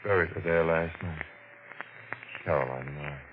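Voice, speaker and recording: An actor playing a grave, ominous husband in a 1940s radio mystery, speaking in a halting, solemn way. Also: male voice, a bass voice. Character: grave, ominous husband breaking grim news. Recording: muffled, noisy old radio recording.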